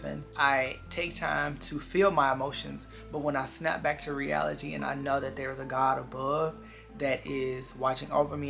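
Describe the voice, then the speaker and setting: Vlogger, twenties, gravelly fry with a bright edge, earnest reflective chat to viewers over background music. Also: mid-range voice. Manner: earnest, confiding, repeats phrases for emphasis.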